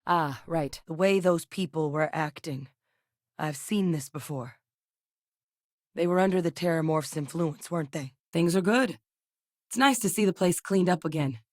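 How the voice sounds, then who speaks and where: An actress playing a composed, duty-driven soldier-scientist in a space video game, with a measured, serious, matter-of-fact delivery. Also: female voice, deep voice, a little mellow, no room sound, clean studio sound, natural voice. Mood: guarded, wry, then composed, friendly. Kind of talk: game character dialogue lines.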